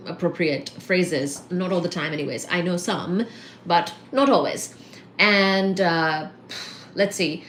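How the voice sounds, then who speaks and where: A Bengali woman from Kolkata hosts a casual livestream, reading out viewer comments and answering them in a chatty, rambling way. Her English carries a mixed Indian and American accent. Her voice sits at a middle pitch and sounds roomy and echoey. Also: slight background noise. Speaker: livestream host.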